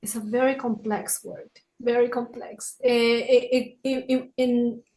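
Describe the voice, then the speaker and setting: Higher-voiced Peruvian woman, halting phrasing. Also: flat delivery, mellow, poor audio. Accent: Peruvian Spanish. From Peru, raised in Lima. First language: Spanish. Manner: searching for words, halting phrasing. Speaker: podcast guest, author and wellness consultant. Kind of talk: reflective interview answers.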